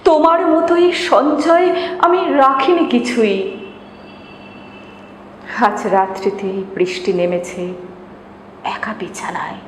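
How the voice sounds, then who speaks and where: A woman reciting poetry in a dramatic, measured manner with drawn-out pauses. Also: high-pitched, very animated. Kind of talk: expressive Bengali poetry recitation. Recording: reverberant echo, noticeable background hiss.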